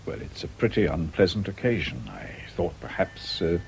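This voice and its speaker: An actor in a radio drama playing a discreet, quietly scheming doctor, polite, understated and deliberate. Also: male voice, low-pitched, big pitch swings, gravelly, a little hiss or hum, heavily acted, poor audio. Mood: politely measured.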